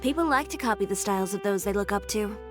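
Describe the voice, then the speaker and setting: An actress voicing a frank, confident young fashion designer in an anime-style video game, composed and dry. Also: female voice, medium pitch, lively intonation, fast talker, natural voice. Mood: composed, assured. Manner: composed, dry, matter-of-fact. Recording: music playing behind the voice.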